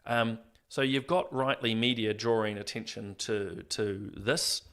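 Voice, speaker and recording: A man hosting an opinion commentary show, earnest and morally indignant, rambling with fillers and going a little hoarse. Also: on the low side, unhurried, a bit bright. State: losing his voice. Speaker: host.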